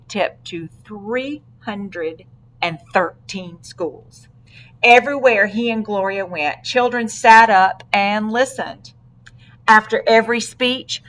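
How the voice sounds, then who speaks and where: A woman reads a children's story aloud in an expressive style. Her pace is slow and deliberate, with dramatic phrasing at a middle pitch. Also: low-quality recording. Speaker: storybook reader.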